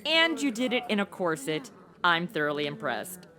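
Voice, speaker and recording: A woman's voice: fairly low pitch, big pitch swings, normal speed, over music. A woman playing a flippant, sarcastic modern young woman stuck in the Old West, in a comedy audio drama. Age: young adult. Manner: sarcastic, slangy, breezy.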